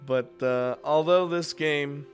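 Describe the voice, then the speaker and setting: A man's voice: on the high side, slow, soft background music. A man hosting a casual gaming video, talking informally and swearing freely as he gives his commentary. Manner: informal, chuckling, frequent swearing. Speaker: video game YouTuber hosting a top five list.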